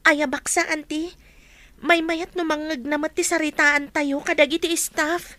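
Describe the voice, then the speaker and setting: A woman's voice: high voice, fairly even intonation, moderate pace, bright, forceful tone, no echo. A Filipino woman acting in a radio drama as a kind young heiress and new boss, earnest and emotive. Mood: respectful.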